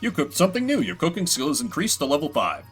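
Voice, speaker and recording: A man's voice: a little high, wildly swooping pitch, fast, a bit bright, husky, soft background music. A man playing a video game as a streamer, mixing casual commentary with theatrical readings of the on-screen text.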